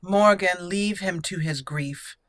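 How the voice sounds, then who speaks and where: A woman voicing an earnest elf mage who leads her party, speaking in a measured, formal manner. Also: fairly low pitch. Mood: solemn, reproving.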